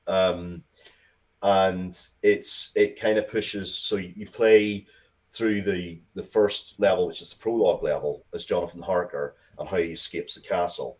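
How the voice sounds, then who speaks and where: Guy with a low voice, casual interview chat, kinda rambly, keeps restarting what he's saying. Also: fairly even intonation, measured pace. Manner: rambling, self-interrupting, restarts sentences often. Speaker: interview guest, comics and game writer.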